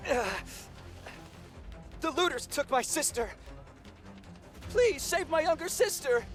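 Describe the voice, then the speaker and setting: A man's voice: extremely high pitch, lively intonation, slow pace, faint music behind, heavily acted. A man voicing a frightened young child in a video game, pleading urgently for help.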